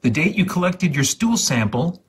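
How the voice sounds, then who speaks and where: A man giving a deliberate, dramatic reading of step-by-step instructions with exaggerated enunciation, in a husky, breathy voice. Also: a little high, very animated, slight background noise. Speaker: host.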